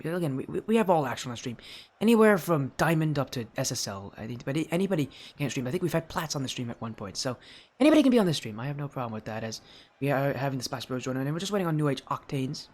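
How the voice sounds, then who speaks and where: A teenage boy with a high-pitched, youthful voice, chatting with his live stream audience and casting a video game match, loud and excited on goals. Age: teens. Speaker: esports caster. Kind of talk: live stream chat and play-by-play commentary.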